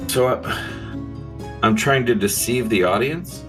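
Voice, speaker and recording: A man's voice: mid-range voice, a little slow, music in the background. A man playing a tabletop game, hesitant and unsure. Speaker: player.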